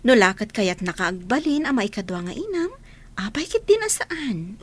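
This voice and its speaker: An actress playing a kind, gentle woman who reassures a child and plans to be her stepmother. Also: a little high, big pitch swings, normal speed, breathy edge.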